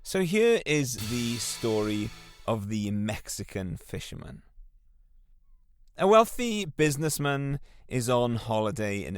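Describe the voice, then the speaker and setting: A man's voice: medium pitch, dry recording, studio quality. Earnest man hosting a motivational podcast. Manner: earnest, encouraging. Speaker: podcast host.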